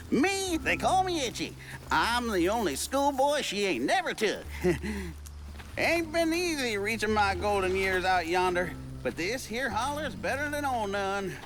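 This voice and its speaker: Wildly swooping, slow old Southern huckster. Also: medium pitch, big pitch swings, fairly bright tone, slight background noise, poor audio. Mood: sly, folksy. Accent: rural Southern US. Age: older. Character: folksy, sly old rural holler huckster called Itchy. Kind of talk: video game character acting.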